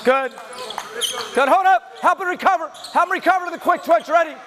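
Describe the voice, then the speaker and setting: A male basketball coach calling out loud, terse, commanding drill instructions to his team at practice. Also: extremely high pitch, a little fast. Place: large indoor gymnasium, practice before onlookers. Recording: noisy gym with bouncing balls. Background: bouncing basketballs and gym noise.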